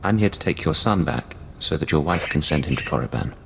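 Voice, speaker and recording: An actor playing a cold, curt, self-interested mercenary in a video game cutscene. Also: male voice, very deep voice, lively intonation, brisk, vocal fry, a bit bright, big-room sound, slight background noise, heavily acted.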